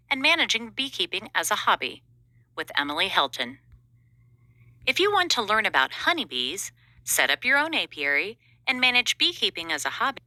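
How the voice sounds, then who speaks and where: A woman's voice: mid-range voice, bright, forceful tone, no room sound. A woman reading scripted audiobook narration as an audition.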